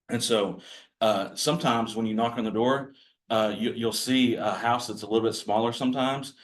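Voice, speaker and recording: Male trainer, low growly voice, folksy and self-deprecating, workshop delivery. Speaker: real estate agent training other agents. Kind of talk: casual workshop talk to a group.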